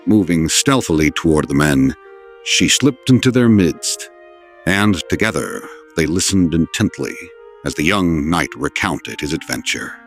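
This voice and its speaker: Male narrator, very deep, measured and formal, lively melodic phrasing, for scripted legend over music.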